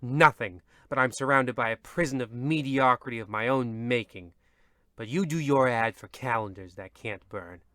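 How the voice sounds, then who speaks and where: A world-weary horror-show narrator acted by a man, mid-pitched and forceful, recorded close and dry. He delivers old-radio narration with ominous, grumbling theatricality. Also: normal speed, dry recording, close to an everyday voice. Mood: exasperated.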